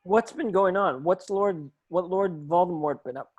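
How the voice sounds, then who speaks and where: A man's voice: high-pitched, measured pace, a little mellow, lo-fi sound. A man playing a defiant, questioning teenage boy wizard in an amateur script read.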